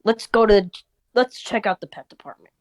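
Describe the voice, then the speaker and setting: A woman's voice: medium pitch, lively intonation, normal speed, natural voice, rough recording. A woman reading the part of a patient, easygoing old grandfather in an amateur cartoon table read.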